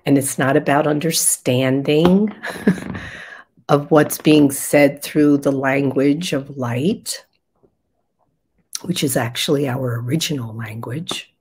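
An older woman with a raspy voice, a spiritual guide leading a soft, meditative session with chanting, heard over a video call from a room at home. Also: rumbling low. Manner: slow, soft and meditative, with pauses.

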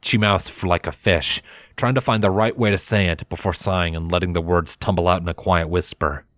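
A man narrating scripted fan fiction in a measured, dramatic storytelling manner. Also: sits low, steady tone, a bit bright, no room sound, clean studio sound.

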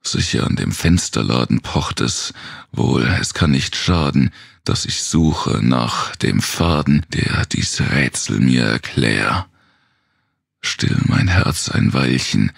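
Very deep gravelly man reciting dark poetry. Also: no room sound, studio quality. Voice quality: very gravelly, husky. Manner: brooding, theatrical, dropping into whispers. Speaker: narrator. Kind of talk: dramatic gothic horror poetry recitation.

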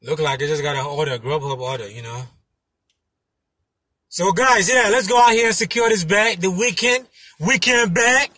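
A man in his thirties talking casually and emphatically to camera as a delivery driver giving tips, repeating his points, with a husky voice. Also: high voice, lively intonation, normal speed, sharp tone, no echo, studio quality. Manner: emphatic, repetitive, punctuated with "you know". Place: inside a parked car. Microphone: phone mounted in front of him.

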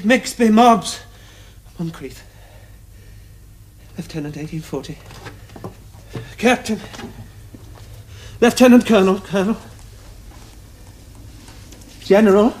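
An actor playing an excitable, earnest young Victorian gentleman with an upper-class English accent, in formal theatrical diction for a television period comedy. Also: sits high, a little hiss or hum. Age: young adult.

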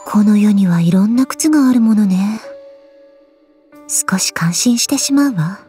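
A woman voicing a cool, aloof, quietly caring gorgon swordswoman in a game, languid, deadpan and curt, with a soft, husky voice. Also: mid-range voice, measured pace, soft background music, natural voice. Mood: dry, resigned. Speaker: voice actress. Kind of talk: scripted Japanese mobile game character lines.

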